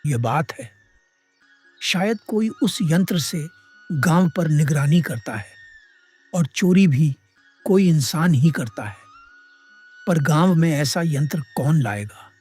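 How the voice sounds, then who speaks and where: A man playing an authoritative village headman with a husky voice in a moral story. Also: a little high, lively intonation, slow, long pauses, darkish tone, faint music behind, exaggerated voice. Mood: pondering, resolute. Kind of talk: animated moral story dialogue.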